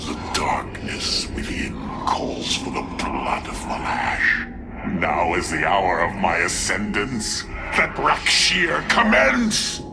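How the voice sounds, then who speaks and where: An actor playing a cruel, menacing alien zealot warlord in a video game, with a sinister, imperious, drawn-out delivery. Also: male voice, deep voice, lively intonation, over loud music, exaggerated voice. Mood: commanding fury.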